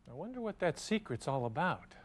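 A man playing a polite, curious, kindly neighbour in a children's make-believe television show, speaking gently and expressively. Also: on the high side, big pitch swings, fairly quick. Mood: friendly, wondering. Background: prominent music.

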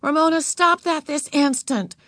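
A bossy schoolgirl big sister from a storybook, played by a woman narrator, with a raised pitch. She corrects and scolds in an exasperated, ordering way. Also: sing-song, normal speed, fairly bright tone, no echo, studio quality, heavily acted.

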